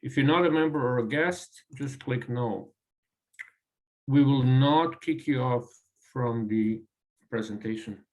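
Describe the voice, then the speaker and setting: The voice is a man with a Croatian accent, speaking as a guest presenter over a video call. His pitch sits mid-range for a man, and he talks in a halting way, filling gaps with ums and uhs. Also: darkish tone, rough recording. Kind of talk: online presentation.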